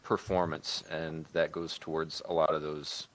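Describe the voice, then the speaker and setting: A man talking casually as a podcast guest, in a thoughtful, measured and somewhat hesitant manner. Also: a bass voice, little pitch movement, fairly bright tone. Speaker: podcast guest, co-producer and writer. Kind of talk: casual discussion.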